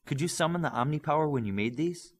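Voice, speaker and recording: Man as young female superhero, instructive, confident, animated delivery, audiobook character. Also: fairly high pitch, fast, vocal fry, slightly rough, voice pushed up, exaggerated voice. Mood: curious. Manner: coaching, explanatory.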